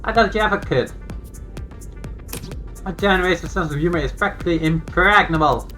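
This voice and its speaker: An actor voicing a brash, teasing young joker in a video game, animated and full of slangy exclamations. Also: medium pitch, a little fast, close to an everyday voice. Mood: eager, teasing. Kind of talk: voiced visual novel dialogue. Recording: game audio with music behind.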